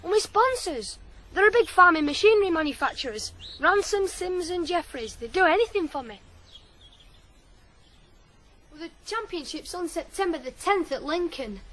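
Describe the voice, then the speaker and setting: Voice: extremely high pitch, animated, fairly quick, bright, forceful tone, full character voice. An actor playing an eager, cocky teenage farm boy in a radio drama, earnest and plain-spoken. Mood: confident, persuasive. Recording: faint noise, 1980s radio drama.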